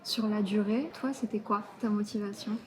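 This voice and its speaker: A woman's voice: mid-range voice, fairly even intonation, normal speed, a little hiss or hum. A woman hosting a casual interview in French, encouraging her guest and supplying words he is looking for. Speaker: host of a french learning channel. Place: outdoors in a city.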